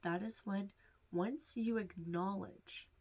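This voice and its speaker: A woman with a stuffed-up, nasal voice gently teaching meditation to beginners, reassuring and sometimes dropping to a whisper. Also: mid-range voice, takes it slowly, breathy edge. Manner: soft, reassuring, drifts into whispers. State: stuffed-up nose. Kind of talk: casual instructional talk.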